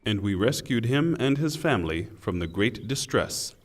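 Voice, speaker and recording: A man reading a scripture translation in a solemn, measured and deliberate way. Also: lowish voice, animated. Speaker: translation reader. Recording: long hall reverb.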